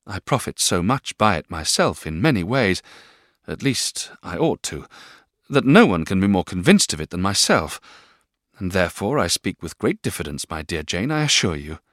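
A man voicing a timid, gentle young mother who speaks hesitantly and apologetically in an audiobook reading of a classic novel. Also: sits low, big pitch swings, normal speed, no room sound, clean studio sound.

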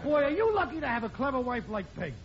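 A man's voice: very high voice, very animated, quick pace, crowd noise. A man playing a sour, henpecked working-class neighbour in 1950s radio comedy style. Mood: grumbling. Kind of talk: 1950s radio sitcom acting. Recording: muffled, very noisy old radio broadcast.

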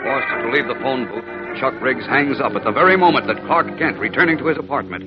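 A man's voice: medium pitch, fairly quick. Dramatic old-time radio male narrator. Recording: dull old radio recording, loud music.

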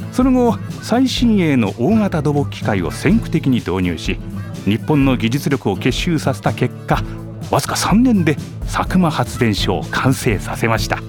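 A man's voice: mid-range voice, close to an everyday voice. A man as courteous office boss, very varied intonation, earnest, easily flustered, radio drama, music behind. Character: courteous, easily flustered department manager explaining company history. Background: background music.

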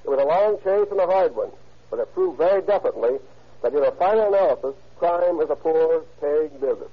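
A man's voice: a little high, expressive, quick pace. A police captain, a man, giving a stiff, formal, moralizing scripted introduction on 1930s radio. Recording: muffled old radio recording.

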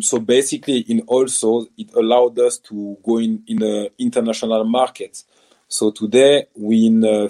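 A man in his thirties speaks English with a French accent, in a lowish, warm and dark voice. He answers interview questions haltingly, restarting his sentences and reaching for words. He is a former footballer who now runs a business. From France. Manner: hesitant, many restarts and fillers, non-native grammar.

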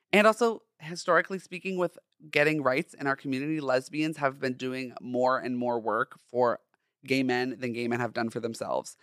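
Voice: medium pitch, fairly bright tone, dry recording. Feminine-voiced young podcast host, dry close sound. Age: 20s. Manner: chatty, playful, slangy, with emphatic delivery. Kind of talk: casual solo podcast monologue.

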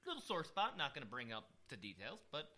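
A man with a scratchy, slightly hoarse voice telling stories in a casual, slangy chat, repeating phrases for emphasis. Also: mid-range voice, wildly swooping pitch, a little fast, bright, forceful tone. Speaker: podcast co-host. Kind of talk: casual chat, animated storytelling.

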